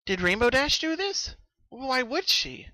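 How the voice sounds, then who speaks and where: Man voicing a high, bewildered girl pony. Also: very animated, measured pace, sharp tone, no room sound, full character voice. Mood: alarmed, bewildered. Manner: questioning, puzzled. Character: bewildered young female party pony, innocent and curious. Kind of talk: dramatic storybook reading.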